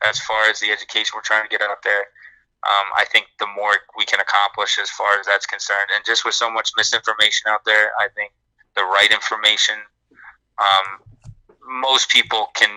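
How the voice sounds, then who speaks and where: A man's voice: fairly low pitch, little pitch movement, normal speed, bright, forceful tone, clear, resonant tone, no room sound, poor audio. A man co-hosting a live credit podcast, explaining answers to listener questions at length and restarting his words often. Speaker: credit repair co-host.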